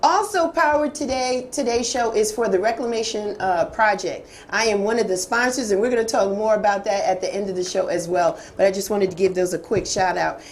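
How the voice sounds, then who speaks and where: A woman's voice: mid-range voice, fairly quick, few pauses, slight background noise. Upbeat woman hosting a talk show. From Detroit. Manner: upbeat, enthusiastic. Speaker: host. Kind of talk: casual interview.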